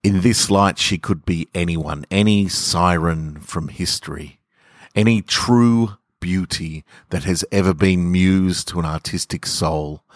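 A man with a deep voice and an Australian accent hosts a storytelling podcast. He speaks close to the microphone, with hardly any room sound around him. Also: dry recording. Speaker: podcast host and author reading own stories. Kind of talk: casual intro and measured literary story reading. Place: garage.

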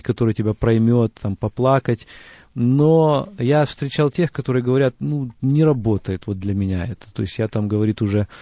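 A man hosts a radio interview in a conversational way. His voice is mellow and relaxed, recorded dry and close in a studio. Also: medium pitch, dry recording. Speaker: radio host.

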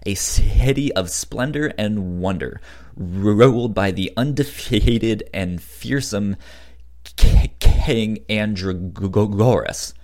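A man with a fairly low voice talks at a very slow pace in casual podcast chat. He stutters often, repeating syllables before getting the word out. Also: a little mellow. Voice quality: frequent stutter, repeating syllables and blocking on words.

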